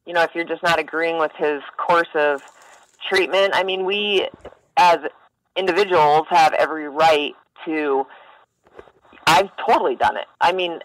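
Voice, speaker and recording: Blunt, low-voiced woman on the phone. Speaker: mental health helpline staffer. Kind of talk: casual phone conversation. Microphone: telephone. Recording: phone call.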